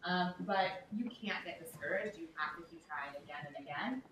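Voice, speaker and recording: A woman's voice: on the low side, normal speed, background noise. A young woman giving an upbeat motivational workshop talk, with frequent "um" and "like". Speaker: workshop speaker. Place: large echoey event room. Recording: echoey room, speaker far from mic.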